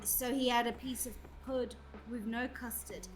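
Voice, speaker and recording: High-voiced young woman, giggly. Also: steady tone, a crowd in the background. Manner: giggly, halting storytelling. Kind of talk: casual chat among friends. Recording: music behind the voice. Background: music.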